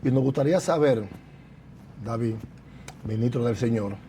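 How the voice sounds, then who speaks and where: A pastor with a mild, mellow voice hosts a church testimony broadcast, speaking formally and in a preacherly way. Faint background noise sits under his voice. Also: medium pitch, moderate pace. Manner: preacherly, formal, interjects praise. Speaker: pastor and program host. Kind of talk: church program interview. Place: church building.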